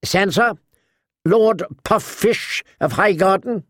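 A man voicing a sharp-tongued old noblewoman in an audiobook, imperious, blunt and talkative. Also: high-pitched, takes it slowly, no echo, clean studio sound, pitched above the performer's own voice, full character voice. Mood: wry, scornful. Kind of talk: audiobook character acting.

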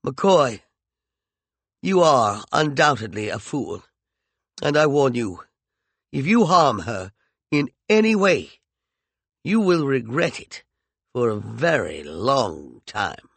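A man's voice: medium pitch, very animated, slow pace, vocal fry, pauses often, fairly bright tone, breathy edge, no room sound, full character voice. A male narrator voicing a haughty nobleman who gives a formal, threatening warning.

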